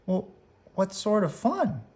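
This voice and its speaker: A woman's voice: mid-range voice, sing-song, takes it slowly, a little mellow, pitched above the performer's own voice. A woman voicing an eager, slightly cautious young boy bear cub in a children's storybook. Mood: wary.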